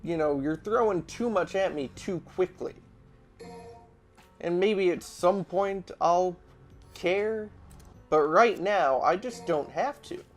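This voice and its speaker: A man's voice: high voice, slow, soft background music. A man chatting casually and giving opinions while playing a video game with his wife. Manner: lively, opinionated, rambling. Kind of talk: casual let's-play chat.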